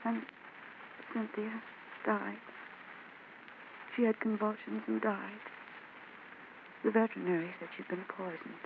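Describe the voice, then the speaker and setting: A woman's voice: mid-range voice, fairly even intonation, unhurried, vocal fry, long pauses. An actress playing a frightened young woman seeking a detective's help, earnest and anxious, in 1940s radio acting style. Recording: tinny old radio recording, faint hiss.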